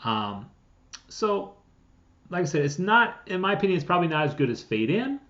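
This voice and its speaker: A man reviewing software in a casual, conversational and informal way, talking as if straight to his viewers. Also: high voice, very animated, normal speed, highs cut off. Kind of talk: casual scripted-outline product review.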